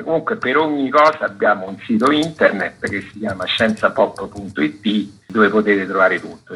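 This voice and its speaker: A man, a festival curator, answering interview questions on a radio program in a relaxed, meandering way. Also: fairly low pitch, husky, poor audio. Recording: radio broadcast.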